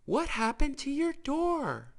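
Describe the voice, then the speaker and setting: A man voicing a kind, bright female sports car from a children's storybook in a sweet, emphatic manner. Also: very high voice, wildly swooping pitch, slow, creaky, voice pushed up, full character voice. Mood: bright, encouraging.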